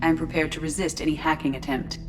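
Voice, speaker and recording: An actress voicing a calm starship computer that speaks in a precise, even, clipped way. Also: female voice, low-pitched, quick pace, music in the background, lightly acted. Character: ship's AI, precise, calm, female-voiced. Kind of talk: video game cutscene acting. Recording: game audio with score.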